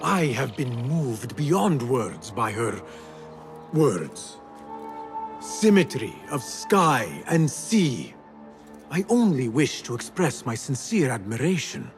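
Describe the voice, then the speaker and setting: A cunning operative of a secret order in a video game. He speaks slowly and with control, his pitch rising and falling widely, with music behind him. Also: sing-song. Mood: courteous, probing. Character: cool, cunning male agent of a secret order. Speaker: game character. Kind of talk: dramatic video game acting.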